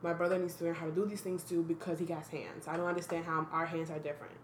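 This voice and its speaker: A woman's voice: on the low side, level tone, fast, roomy sound, a little hiss or hum. A young woman from the Dominican Republic co-hosting a podcast, speaking thoughtfully and frankly about her life. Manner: thoughtful, earnest, frank, with sudden blunt humor. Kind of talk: reflective casual chat.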